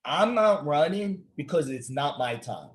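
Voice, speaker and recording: A man's voice: a little high, lively intonation, a little slow, slight background noise. A man with an American accent hosting a casual conversational interview, emphatic and given to repetition and rhetorical questions. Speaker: talk show host.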